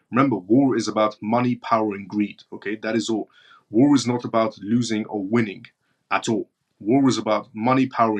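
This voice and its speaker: Blunt man from Iran, lowish voice. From northern Iran. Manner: blunt, repeats his points for emphasis. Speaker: live-stream show host. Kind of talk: live-stream talk answering viewer chat.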